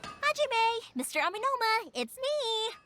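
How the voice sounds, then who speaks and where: An eager young girl from a video game. Her voice is extremely high, bright and animated, with light music behind. She chatters in a friendly, exclamatory way. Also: measured pace, full character voice. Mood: eager, chatty. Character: bubbly young fireworks-maker girl, cheerful and eager.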